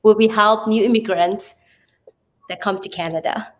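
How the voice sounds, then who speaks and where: A woman's voice: medium pitch, fairly even intonation, normal speed. A woman with a Sri Lankan accent speaking earnestly as an interview guest, explaining things phrase by phrase. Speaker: podcast guest, TV presenter and radio host. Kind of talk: conversational interview self-introduction and explanation.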